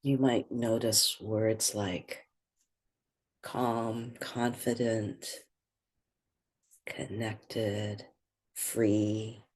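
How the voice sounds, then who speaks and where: Slow, breathy meditation guide. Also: medium pitch, flat delivery. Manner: gentle, unhurried, pausing between short phrases.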